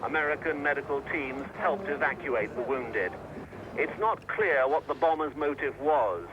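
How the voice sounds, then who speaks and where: A man's voice: medium pitch, bright, forceful tone. A man narrating a scripted television news report as a correspondent. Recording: dull, noisy 1980s news track.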